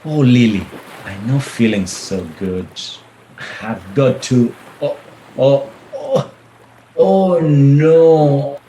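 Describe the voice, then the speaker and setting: An actor playing a seasick, smooth-talking businessman husband in a radio play, melodramatic and whiny. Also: mid-range voice, drawn-out words, mellow, rough recording. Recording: faint background noise.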